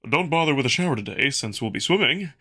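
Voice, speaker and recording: A man plays an earnest male wolf from an alien world in a visual novel. He is eager and a little awkward, with formal, emphatic phrasing. The voice is forward and bright, with animated swings in pitch. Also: fast. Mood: eager, instructive. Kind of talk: visual novel character dub.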